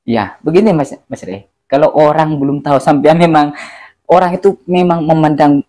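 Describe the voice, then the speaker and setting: A man co-hosting a casual religious chat, agreeable and quick to affirm. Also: fairly high pitch, mellow. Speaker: co-host.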